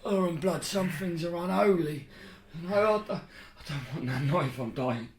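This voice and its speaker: An actor playing a crude, cruel, cowardly jailer in a horror audio drama, coarse and agitated. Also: male voice, fairly high pitch, moderate pace, natural voice. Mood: dying, pleading. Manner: coarse, agitated, shouting and groaning. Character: crude, cruel, bullying male jailer, cowardly when hurt. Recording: roomy echo, faint noise.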